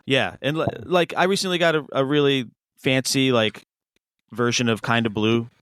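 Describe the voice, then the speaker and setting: A man's voice: mid-range voice, moderate pace, a bit bright, smooth. A man in his fifties in laid-back casual chat, hesitant with fillers and swearing freely. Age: 50s.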